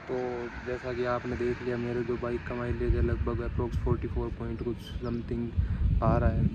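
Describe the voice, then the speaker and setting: A young man talks casually to his phone outdoors by a highway. His delivery is extremely monotone and halting, with frequent repeats. Loud traffic and open-air noise sit behind his voice. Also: lo-fi sound. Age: young adult. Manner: halting, repeats himself while working out figures. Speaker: motovlogger. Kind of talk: casual vlog chat to camera. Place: outdoors under a tree beside a highway. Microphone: phone at arm's length.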